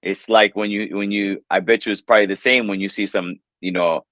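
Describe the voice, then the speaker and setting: A relaxed man in his thirties with a New York accent talks in a lowish voice, rambling easily and sprinkling "like" and "you know" everywhere. The sound is close and dry, with no room around it. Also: fairly quick, no echo. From New York, USA. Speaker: English teacher, guest. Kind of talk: casual interview chat.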